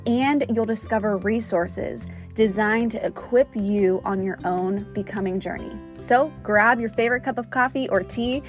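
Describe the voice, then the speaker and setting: A woman's voice: on the high side, normal speed, hardly any pauses, faint music behind. A woman hosting a faith podcast, gushing with praise and chatting casually. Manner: gushing, warm-hearted praise, filler "like". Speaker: podcast host.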